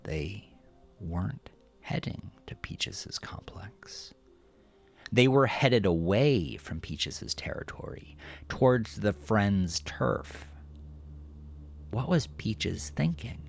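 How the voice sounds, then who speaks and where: A man narrating horror fiction in a gravelly voice, deliberate and dramatic, often dropping to a hush. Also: on the low side, big pitch swings, in a whisper. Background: music.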